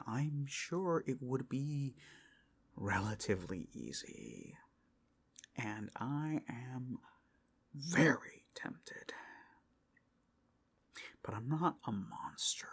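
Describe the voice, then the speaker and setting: A man playing a possessive, lovestruck yandere kidnapper in a roleplay audio drama, with a breathy voice that often drops into whispers and a theatrical, intimate menace. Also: medium pitch, full character voice. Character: possessive creepy yandere kidnapper, obsessively lovestruck, easily outraged.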